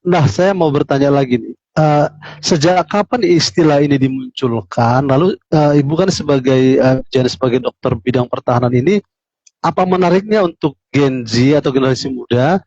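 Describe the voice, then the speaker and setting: A man hosting an interview, asking measured questions with "uh" pauses. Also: mid-range voice, darkish tone, no room sound. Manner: measured, "uh" fillers. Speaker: interview host. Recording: dull lo-fi sound.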